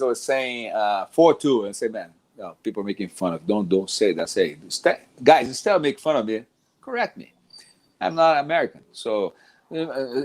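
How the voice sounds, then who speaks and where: A man's voice: mid-range voice, darkish tone. A middle-aged man from Brazil with a Brazilian Portuguese accent, a jiu-jitsu instructor talking casually about teaching, rambling with many restarts. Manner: rambling, restarts often, says "man" a lot.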